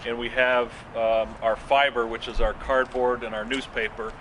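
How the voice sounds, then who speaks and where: A man giving an informal explanatory tour in a matter-of-fact, halting way, as a guide at a working facility. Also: medium pitch, level tone, fairly bright tone. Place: working waste and recycling facility. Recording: distant mic, clear vehicle and machinery noise.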